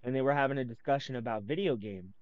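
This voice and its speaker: A man of middle pitch talks over a stream, sarcastic and irritated, swearing through nearly every line. The recording is dull and lo-fi, the highs rolled off. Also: fast, crowd noise. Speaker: commentator reacting to a stream. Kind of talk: profane reaction commentary.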